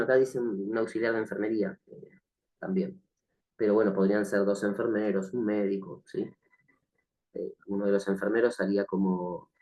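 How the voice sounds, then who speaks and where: A man teaching a recorded nursing class, slightly hoarse from the flu, speaking hesitantly with many fillers and frequent check-ins with the listener. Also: lowish voice, a little mellow, low-quality recording. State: has a cough from the flu. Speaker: nursing instructor. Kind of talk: recorded online lecture.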